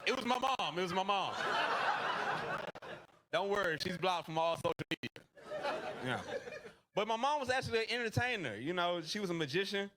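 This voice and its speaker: A man performing a stand-up comedy set, telling self-deprecating jokes in a deadpan way. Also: high-pitched, a little fast, pauses often. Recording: noisy club recording.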